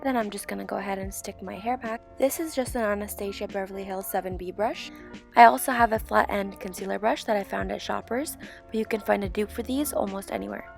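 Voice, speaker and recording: A young woman, hoarse and sick with a sore throat, calmly talking through a beauty tutorial as a voiceover. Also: mid-range voice, a little fast, soft background music. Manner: calm step-by-step instruction. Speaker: beauty channel host. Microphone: voiceover mic.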